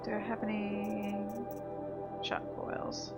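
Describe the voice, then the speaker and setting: Woman gamer commentating over loud music. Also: medium pitch, monotone, takes it slowly. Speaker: gameplay streamer. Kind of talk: casual game commentary. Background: loud game music.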